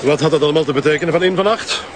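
An actor playing an impatient, self-satisfied police chief in a radio drama, brusque and officious, with a Flemish accent. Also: male voice, fairly high pitch, racing, natural voice. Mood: scolding. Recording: noisy outdoor scene with traffic.

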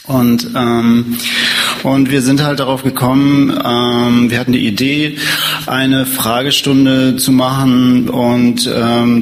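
A man from Hamburg moderating a panel discussion in front of an audience, talking haltingly and filling pauses with many ums. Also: mid-range voice, slight background noise. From Hamburg, Germany. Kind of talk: hesitant live moderation. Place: meeting room with an audience. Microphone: handheld microphone passed around.

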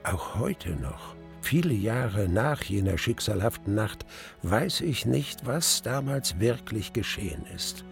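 An actor playing an old grieving man who narrates his haunted memories of a lost love in a radio play, halting and reflective. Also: on the low side, sing-song, creaky, soft background music, exaggerated voice. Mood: mournful, brooding. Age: older. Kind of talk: dramatic first-person radio play narration.

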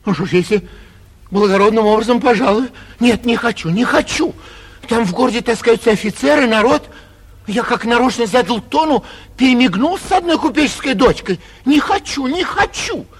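A man playing a vain, petulant, cowardly young gentleman in a 1940s theatrical radio play, whining and indignant in a husky voice, with long reverb on the old recording. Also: extremely high pitch, full character voice. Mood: anxious bluster. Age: young adult.

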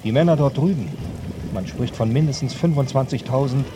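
An actor playing a field reporter in a radio play, describing formally over clear rain noise. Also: male voice, medium pitch, fairly quick, lightly acted. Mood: serious, reporting. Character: field reporter on a medieval battlefield.

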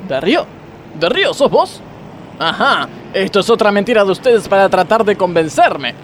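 Exasperated boss played by a man, high animated voice, incredulous, comedy sketch with faint noise. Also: moderate pace. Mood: weary, distrustful. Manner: incredulous, scolding. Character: exasperated, suspicious male factory boss. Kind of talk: comedy radio sketch acting.

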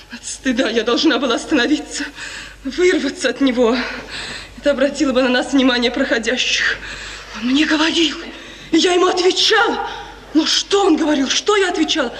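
An actress playing a frightened, obedient young bride in a 1950s radio tragedy, speaking in a breathless, impassioned, tremulous way. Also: female voice, high voice, lightly acted. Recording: old echoey radio recording, hissy, long reverb.

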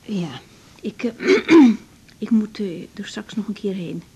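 An actress playing a calm, evasive young doctor in a Dutch radio drama, businesslike and polite, with an accent from the Netherlands. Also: female voice, mid-range voice, slow pace, breathy edge, heavily acted. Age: young adult. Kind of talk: naturalistic 1980s radio drama acting.

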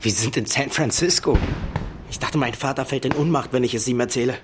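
Young male actor, medium pitch, casual caring friend, stubborn, radio drama dialogue, heavy background noise. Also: fairly quick, lightly acted. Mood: teasing. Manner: casual, short probing questions. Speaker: supporting actor in an audio drama. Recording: loud noise, crackling fireworks behind.

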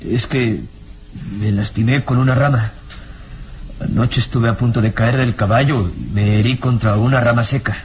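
An irritable man with a mid-pitched voice, played by an actor in a radio serial. He sounds defensive and evasive, answering with curt, indignant dismissals. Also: fairly even intonation, normal speed, a little hiss or hum, close to an everyday voice. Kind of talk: radionovela acting.

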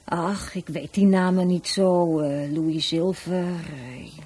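A woman's voice: on the low side, fairly even intonation, takes it slowly, dark tone, breathy edge. An actress playing a blunt, cynical woman in a radio drama, with a dry, nonchalant way of talking. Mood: dismissive. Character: blunt, offhand, cynical sister of a murder victim.

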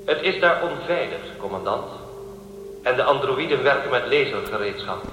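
Calm, echoing ship computer. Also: male voice, medium pitch, a little hiss or hum. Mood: formal, composed. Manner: measured, formal, clinical. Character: calm, controlled male guardian-angel ship computer. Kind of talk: science fiction radio drama acting. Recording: echoey, loud music behind.

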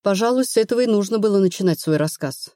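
A woman narrator playing a brisk, rational female investigator in an audiobook, blunt and assured. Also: medium pitch, expressive, dark tone, no echo, clean studio sound, close to an everyday voice. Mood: insistent. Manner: matter-of-fact, assured.

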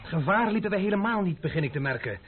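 An actor playing an impulsive, hot-headed but loyal young gentleman in an old-fashioned Dutch radio drama. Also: sits high, expressive, fast. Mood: dry, impatient. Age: young adult. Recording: muffled, faintly noisy old radio recording.

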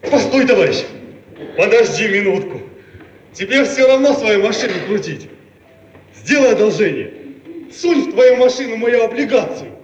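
High, muffled, pushy worker. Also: male voice, sing-song, normal speed, long pauses. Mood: eager pleading. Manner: insistent, pestering. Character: pushy, eager working-class man angling for easy money. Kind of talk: theatrical radio comedy acting. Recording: muffled, echoey, distant, faintly noisy radio recording.

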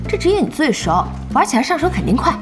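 A woman playing a lively, exasperated young gamer in an audio drama, expressive, emphatic and chatty. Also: high-pitched, quick pace. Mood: excited. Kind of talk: animated Chinese audio drama acting. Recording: music bed under the dialogue.

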